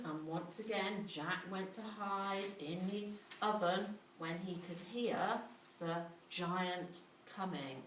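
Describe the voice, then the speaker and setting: A woman telling a children's story in an animated way, with repetition, dramatic pauses and questions to her listeners. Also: fairly low pitch. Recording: roomy, distant mic, clearly noisy.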